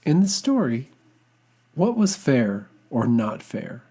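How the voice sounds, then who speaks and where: A storyteller giving an expressive, scripted reading of a children's folktale with dramatic, deliberate phrasing. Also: medium pitch, breathy edge.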